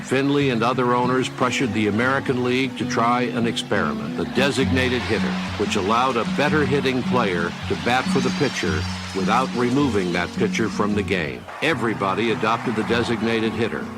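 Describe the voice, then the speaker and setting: A man reading scripted documentary narration in a measured, polished delivery. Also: mid-range voice, poor audio. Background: loud music.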